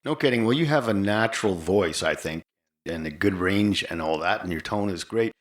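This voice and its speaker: A man's voice: sits low, lively intonation, normal speed. An older man hosting a conversational interview. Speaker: podcast host interviewer.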